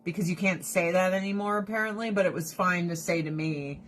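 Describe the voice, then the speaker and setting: A woman's voice: on the low side, a little fast, a little hiss or hum. Blunt, laughing woman live streaming. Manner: blunt, profane, animated, laughing often. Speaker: direct sales rep live streaming. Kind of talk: casual live stream chat to viewers. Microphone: phone or camera held close.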